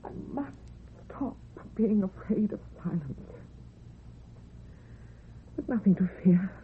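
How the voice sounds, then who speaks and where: A woman's voice: mid-range voice, expressive, slow. An actress playing a lonely, frightened, ageing woman in a theatrical, tremulous old-time radio horror style. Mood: anxious, brooding. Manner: theatrical, tremulous, talking to herself. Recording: dull vintage radio recording, faint hiss.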